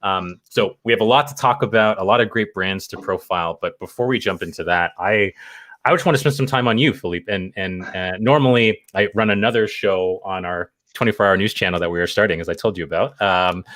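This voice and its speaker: A man's voice: low voice, fairly quick, fairly bright tone, dry recording. A man hosting a casual interview show, speaking eagerly with lots of fillers. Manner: eager, filler-heavy, lots of you know. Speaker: show host.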